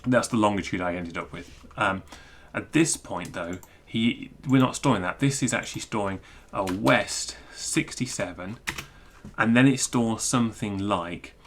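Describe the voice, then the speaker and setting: A man giving an informal technical screencast walkthrough, thinking aloud in a halting, self-interrupting way. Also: fairly low pitch, slight background noise. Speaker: presenter explaining technical material.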